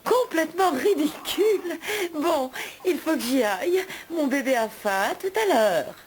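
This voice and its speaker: A woman voicing a brisk, polite young mother in a French cartoon dub, teasing and sceptical. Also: high-pitched, expressive, slight background noise. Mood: amused disbelief.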